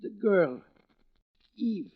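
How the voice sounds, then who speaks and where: An actor playing a small-town sheriff in a 1940s radio drama. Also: male voice, high-pitched, expressive, extremely slow, husky, exaggerated voice. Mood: gasping, desperate. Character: small-town sheriff, protective, then gasping and mortally wounded. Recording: dull vintage 1940s radio recording.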